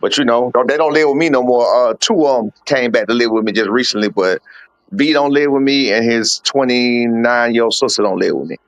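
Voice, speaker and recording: Casting note: forties-age man, coach type, candid and blunt, mid-pitched, dry close sound. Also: a little fast, no echo. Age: 40s. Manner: candid, blunt storytelling with slang and swearing. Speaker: boxing coach and father of a fighter. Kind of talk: casual podcast interview.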